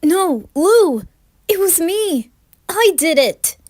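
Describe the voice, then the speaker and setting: Woman as a high, pleading teenage boy. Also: big pitch swings, slow, darkish tone, exaggerated voice. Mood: desperate. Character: distraught teenage boy, guilty and sullen. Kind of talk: amateur creepypasta dramatized reading.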